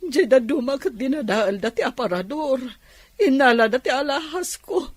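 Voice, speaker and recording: A woman playing a plaintive, aggrieved middle-aged robbery victim with a husky voice in an Ilocano radio drama, lamenting in drawn-out exclamations. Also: high-pitched, expressive, no room sound. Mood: distressed, pained.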